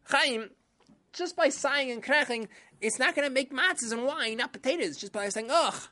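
A shrill, exclaiming wife from a children's storybook, poor and desperate, pleading with her husband, put on by a woman telling the tale. The voice jumps very high and swings widely as she protests. Nothing in her tone ever settles down. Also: animated, normal speed, bright, forceful tone.

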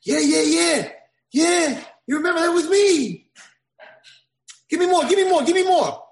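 A man voicing a young male prince forced into kitchen slavery, pleading and shouting in a strained high voice. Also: very animated, slow pace, heavily acted. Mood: excited. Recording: echoey room.

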